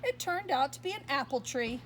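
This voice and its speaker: High, lively woman reading a storybook. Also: a little fast, a little hiss or hum. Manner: expressive, asks listeners questions. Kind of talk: reading a picture book aloud to young children.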